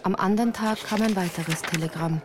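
A woman's voice: medium pitch, fairly quick, crowd noise. A woman reading scripted first-person narration for a radio play. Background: music.

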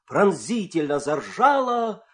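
A man narrating a children's fairy-tale radio play with dramatic, expressive storytelling delivery. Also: high voice, slow pace.

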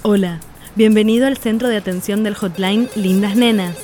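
A woman's voice: mid-range voice, normal speed, mellow, soft background music, close to an everyday voice. A woman playing a welcoming hotline receptionist in a comedy radio play, with a scripted customer-service manner.

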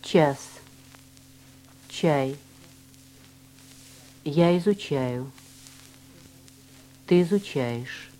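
A woman reading language-course drills and dialogues with slow, deliberate, carefully enunciated speech. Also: low-pitched, lively intonation, mellow, rough recording. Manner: clear, deliberate articulation of each word.

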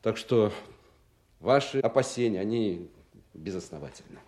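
An actor playing a brusque, authoritative Soviet colonel in a radio drama, speaking in a firm, clipped, insistent way. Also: male voice, fairly low pitch, animated, normal speed, darkish tone, lightly acted. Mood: firm, explaining.

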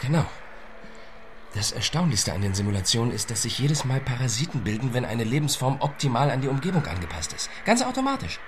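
Man as animated, driven, evasive scientist. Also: medium pitch, a little fast, noisy background, natural voice. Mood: fervent. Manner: probing, clipped questions, evasive answers. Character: driven, evasive male computer scientist, quietly persistent. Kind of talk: naturalistic radio drama dialogue.

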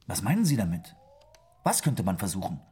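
Meek eager-to-help man in a radio drama, super husky, hesitant, very polite. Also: sits low, huge pitch swings, a little fast, warm tone, full character voice. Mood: anxious, eager. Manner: hesitant, formal and courteous. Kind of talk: German radio drama acting.

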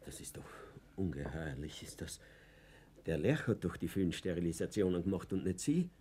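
An actor playing a stubborn, indignant former political prisoner in a German radio play, husky and emphatic. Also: male voice, sits low, sing-song, normal speed, dark tone, a little hiss or hum. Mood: outraged. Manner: impassioned, emphatic.

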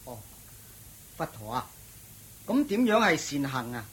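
A man's voice: mid-range voice, very animated, a little slow, a bit bright, breathy edge, heavily acted. An actor playing a courteous nobleman who questions a holy teacher in a respectful, earnest way in a radio drama. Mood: curious. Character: Prince Meda, courteous noble male lord. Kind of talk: Cantonese radio drama acting.

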